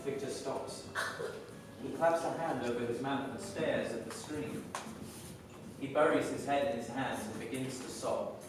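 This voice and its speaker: A man's voice: mid-range voice, measured pace, noisy background. A man reading stage directions as scripted narration at a staged reading. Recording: very echoey room, distant microphone.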